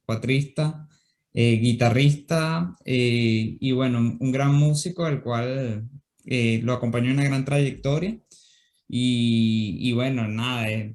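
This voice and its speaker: Host, mid-pitched, friendly and hesitant, lots of fillers. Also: male voice, darkish tone. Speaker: interviewer, channel host. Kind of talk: interview.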